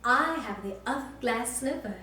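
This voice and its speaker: A woman voicing a sweet, earnest young princess heroine from a storybook, gentle and wide-eyed. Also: on the high side, expressive, normal speed, a little hiss or hum. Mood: hopeful. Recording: very echoey, distant mic, faint music.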